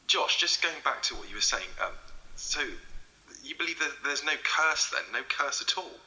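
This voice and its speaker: An actor playing a polite, curious investigator, speaking hesitantly in a naturalistic interview style. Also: male voice, on the high side, animated, normal speed, bright, forceful tone, rough recording. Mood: awkward, polite. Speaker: interviewer researching a legend. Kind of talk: audio drama acting, naturalistic interview.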